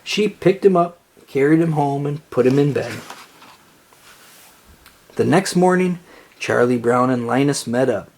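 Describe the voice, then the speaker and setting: A storybook reader narrating aloud for children in an expressive read-aloud style. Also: medium pitch, a little slow, pauses often, slight background noise.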